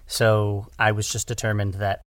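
A man's voice: lowish voice, little pitch movement, normal speed, fairly bright tone, no room sound, studio quality. A man talking casually as an audio drama creator in an interview, often repeating words and correcting himself as he goes. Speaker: audio drama writer-director, podcast guest.

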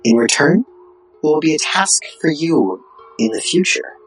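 An actor playing a formal otherworldly spirit in an audio drama, with a husky, breathy voice and measured manner. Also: mid-range voice, big pitch swings, soft background music. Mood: solemn, bargaining.

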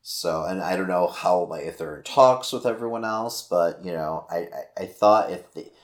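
A man with a very low voice co-hosting a laid-back podcast. He rambles through his points with plenty of fillers and restarts, swears now and then and laughs easily. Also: normal speed. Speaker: podcast co-host. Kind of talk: casual podcast chat.

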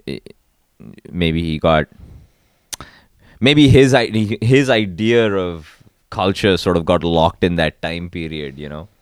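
A man in casual chat, rambling and halting, often trailing off quietly mid-thought. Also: low-pitched, clean tone, dry recording, clean studio sound.

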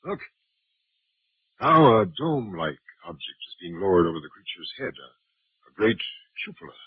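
An imperious alien commander, swooping husky delivery. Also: male voice, deep voice, sing-song, normal speed, no room sound, full character voice. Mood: hushed, watchful. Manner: commanding, clipped, formal military speech. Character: confident, imperious alien invasion commander, male. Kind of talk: 1950s radio drama acting. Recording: muffled vintage 1950s radio broadcast.

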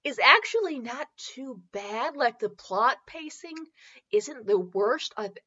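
A woman hosting a podcast with casual, humorous review chat, rambling and halting as she goes, often giggly. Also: a little high, animated, pushed and bright, husky, lo-fi sound. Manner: giggly, frequently breaking into laughter; rambling, halting self-interrupted delivery. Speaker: podcast host.

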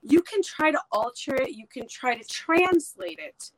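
A woman with a slightly raised pitch talks in a blunt, emphatic way. Hebrew was her first language. She speaks plainly and forcefully in a casual podcast conversation. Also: expressive, measured pace, gravelly, no echo, rough recording. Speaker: podcast guest.

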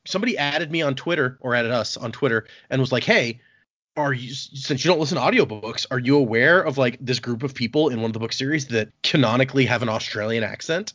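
A man's voice: medium pitch, quick pace, fairly bright tone, no echo. A man hosting a podcast in casual chat, rambling and restarting his phrases, with a laugh here and there. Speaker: podcast host. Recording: dull, muffled podcast audio.

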